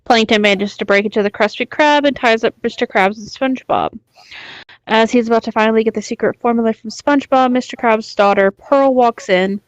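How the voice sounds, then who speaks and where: A woman's voice: a little high, fairly quick, no room sound, dull audio. A woman co-hosting a podcast, commenting casually and reading aloud. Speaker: podcast co-host reading and discussing creepypastas.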